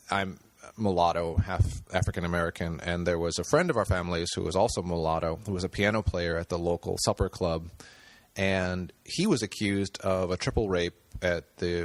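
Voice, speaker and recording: Low-voiced man, hesitant podcast guest. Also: no room sound. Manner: hesitant, with many fillers and restarts. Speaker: podcast guest, screenwriter and director. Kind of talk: casual interview talk.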